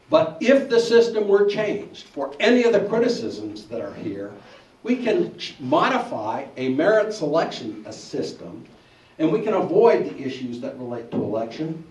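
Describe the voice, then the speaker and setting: A man's voice: fairly high pitch, darkish tone. A man, speaking on a panel at a public forum and arguing his case with a story. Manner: persuasive, storytelling. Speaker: bar association president on a panel. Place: church hall forum. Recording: echoey hall, distant mic.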